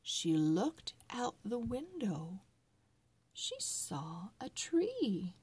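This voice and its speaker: A woman storyteller reading a children's story aloud in a deliberate, word-by-word read-along style for early readers. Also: medium pitch, very animated, a little mellow, husky.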